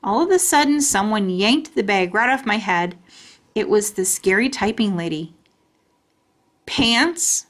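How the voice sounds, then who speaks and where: A woman's voice: mid-range voice, lively intonation, moderate pace. Woman narrating a children's storybook.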